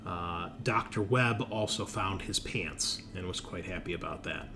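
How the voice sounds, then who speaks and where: A man with a fairly low voice acts as game master, recapping a tabletop horror game for the players. He speaks off the cuff, pausing and correcting himself as he addresses them directly. There is clear ambient noise behind his voice.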